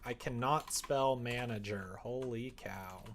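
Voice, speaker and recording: A man's voice: mid-range voice, slow pace, a bit bright, slight background noise. A man streaming live, chatting casually with viewers and rambling as he thinks aloud.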